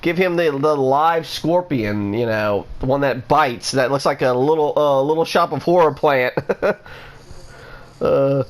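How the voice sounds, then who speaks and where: A man's voice: mid-range voice, unhurried. A man talking casually into the camera, rambling with lots of restarts and fillers as he lists what he wants to see in a game. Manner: rambling, many restarts and "you know" fillers. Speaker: gaming vlogger. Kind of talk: casual rambling opinion talk.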